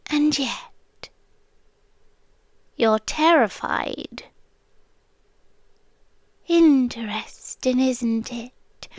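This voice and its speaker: A British woman playing a possessive, sweetly menacing siren queen in an intimate ASMR roleplay, speaking softly and teasingly and drifting into whispers. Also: sits high, no echo, exaggerated voice. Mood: tender menace.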